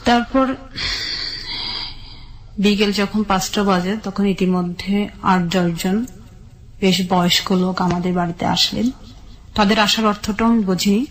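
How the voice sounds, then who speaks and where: A woman with a Bangladeshi accent tells her personal story in an interview, measured and step by step in short phrases. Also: medium pitch, fairly even intonation, low-quality recording. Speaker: guest telling her life story.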